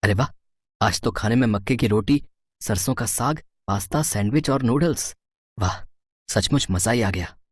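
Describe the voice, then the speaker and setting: A man's voice: fairly low pitch, moderate pace, husky, no echo, studio quality. A man voicing an affectionate, hapless young husband in a cartoon story, with a mild, coaxing manner. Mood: delighted. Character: soft-spoken young brother-in-law, affectionate, hapless husband.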